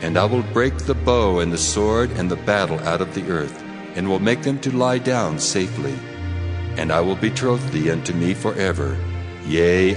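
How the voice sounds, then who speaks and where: An actor playing God in a dramatized scripture reading, solemn, measured and commanding yet tender. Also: male voice, low voice.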